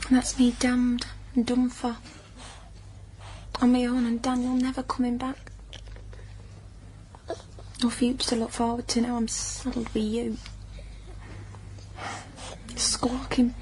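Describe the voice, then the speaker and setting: A woman's voice: fairly high pitch, monotone, takes it slowly, long pauses, darkish tone, slight background noise. A woman acting in a radio drama as a desperate, plaintive young unwed mother with a Northern English accent. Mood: hushed despair. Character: poorhouse ghost, desperate young unwed mother, defiant then despairing.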